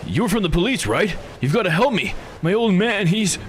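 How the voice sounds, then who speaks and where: Male reader as distressed young man, urgent delivery, raised pitch, animated, game dialogue. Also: moderate pace, slight background noise. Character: young distressed man, son of a murdered father.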